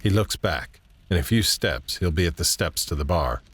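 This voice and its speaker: A man narrating a scripted audio drama in a measured, descriptive way. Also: low-pitched, lively intonation, a bit bright, slightly rough. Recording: background ambience and noise.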